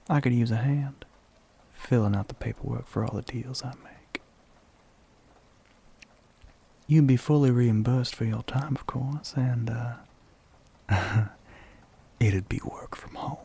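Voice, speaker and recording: A man voicing a flirty, sly, gentlemanly demon with a Southern US accent in an ASMR roleplay, half-whispered, breathy and husky, with a teasing drawl. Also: medium pitch. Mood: persuasive, flirty. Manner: slow, teasing drawl with pet names.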